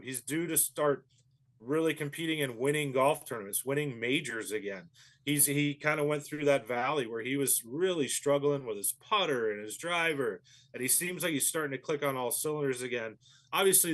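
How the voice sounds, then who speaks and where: Man, golf pro guest, easygoing, thoughtful, lots of ums, mid pitch. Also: fairly bright tone. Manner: easygoing and thoughtful, with frequent fillers. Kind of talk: phone-in interview analysis.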